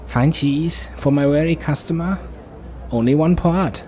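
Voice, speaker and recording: A sly, giggling hooded trader, put on by a man in an audio drama, pitched well above his usual deep tone. He boasts and wheedles as he sells, and a steady hiss runs behind him. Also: a little slow, lightly acted. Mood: wheedling.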